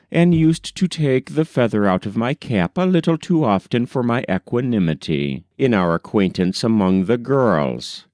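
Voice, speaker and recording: A man reading a classic novel aloud as an audiobook narrator, in a measured, unhurried storytelling manner. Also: lowish voice, darkish tone, clean tone, no echo, clean studio sound.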